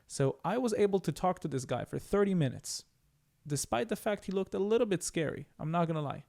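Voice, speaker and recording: A man's voice: on the high side, creaky, darkish tone. A man from Israel, hosting reaction commentary and stressing his points by repeating them. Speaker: channel host.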